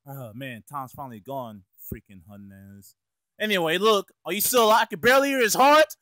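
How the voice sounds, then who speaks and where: A man's voice: high voice, lively intonation, brisk, bright, forceful tone, no echo. A man voicing a streetwise, exasperated cartoon mouse, slangy, cursing and scolding, in an amateur comedic fandub. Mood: alarmed, concerned.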